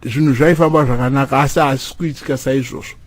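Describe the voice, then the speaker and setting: A man's voice: mid-range voice, steady tone, fast talker, no echo, close to an everyday voice. A man playing a preachy church elder in a comedy cartoon, exhorting in Shona with a repetitive, sermon-like delivery. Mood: admonishing.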